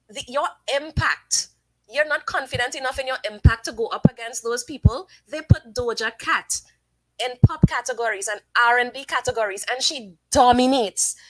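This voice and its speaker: A woman hosting a casual live stream, giving her opinions and reading viewers' comments aloud in a chatty, thinking-out-loud way. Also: on the high side, no echo, rough recording. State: sniffly from sinus trouble. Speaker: livestream host.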